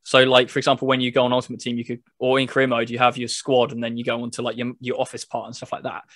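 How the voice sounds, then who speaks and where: A man's voice: medium pitch, monotone, racing, fairly bright tone, no echo. Man in casual gaming chat, dry close sound. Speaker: podcast host reviewing a video game.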